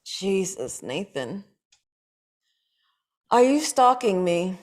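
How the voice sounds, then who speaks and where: A woman's voice: medium pitch, big pitch swings, a little mellow. Blunt, dry-witted woman reading a script. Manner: dry, blunt, quick comebacks. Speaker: actor in a table read. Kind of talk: script table read, playing Sasha.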